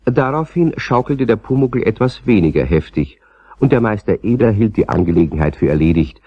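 A man narrating a children's radio play as a calm, gently amused storyteller with a husky voice. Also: fairly low pitch, fairly quick, dry recording, highs cut off. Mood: storytelling.

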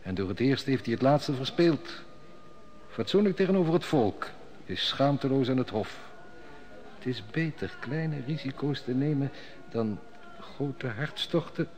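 A man's voice: medium pitch, lively intonation, measured pace, a little mellow, slight background noise, natural voice. A man playing a cynical, mocking court steward in a radio play, who drinks too much and schemes at court. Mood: scheming. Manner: sardonic, mocking. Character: sly cynical male royal steward, heavy drinker. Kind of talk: 1960s radio drama acting.

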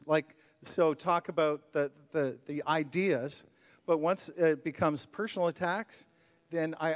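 Give me an earnest middle-aged man preaching into a handheld mic on a church stage. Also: a little high. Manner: earnest, repeats words, rises to fervent emphasis. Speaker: pastor. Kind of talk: sermon and prayer, then stage conversation. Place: church stage, large room.

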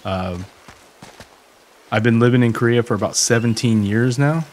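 A man from the USA giving relaxed, chatty commentary while streaming a game and talking to his chat from home. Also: medium pitch, moderate pace, a little hiss or hum. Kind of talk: casual gameplay commentary.

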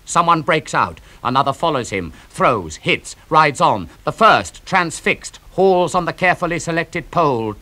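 A man reads epic poetry aloud for an audiobook with a theatrical delivery. His pitch rises and falls widely, dropping to a hush and then ringing out. The tone is bright and forceful. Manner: theatrical, swings from hushed to ringing. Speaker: audiobook reader. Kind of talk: dramatic reading of epic poetry.

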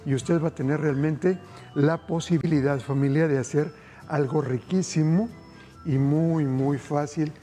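A man's voice: on the high side, fairly even intonation, a little slow, mellow, soft background music. A middle-aged man hosting a cooking show, cheerfully walking viewers through a recipe. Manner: enthusiastic, addresses viewers directly. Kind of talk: cooking demonstration. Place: TV studio kitchen set.

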